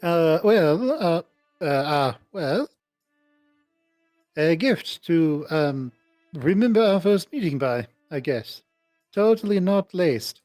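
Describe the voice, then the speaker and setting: A man's voice: on the high side, lively intonation, slow pace, long pauses, darkish tone, no echo, soft background music, voice pushed up. A man voicing a nervous, eager young woman who stammers and pleads insistently. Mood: nervous, evasive.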